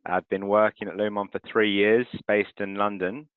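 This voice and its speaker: Low, clear-voiced man on a tinny call. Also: normal speed, no room sound. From France. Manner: clear, steady presenter running audience polls. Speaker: currency transfer firm representative. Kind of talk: webinar presentation. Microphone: video call. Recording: tinny phone-like call audio.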